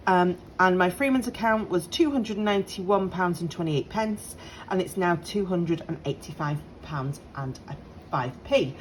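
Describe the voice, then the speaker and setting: A woman in her late thirties from the UK, slightly croaky, chatting casually and apologetically to camera with lots of ums, at home with appliances humming faintly. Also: medium pitch, rough recording. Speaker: budgeting vlogger. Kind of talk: casual direct-to-camera update. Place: home on a Sunday morning. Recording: faint appliance hum behind. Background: dishwasher and washing machine running.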